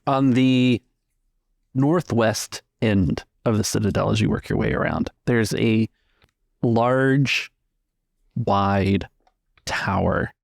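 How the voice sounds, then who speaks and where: Low, gravelly man narrating a tabletop game. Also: slow, long pauses, dry recording, studio quality. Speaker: game master.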